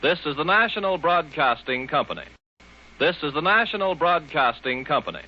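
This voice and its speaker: A man gives a 1940s network radio announcement in a slightly high voice. The sound is dull and aged. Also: lively intonation, normal speed, over music. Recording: muffled old radio broadcast.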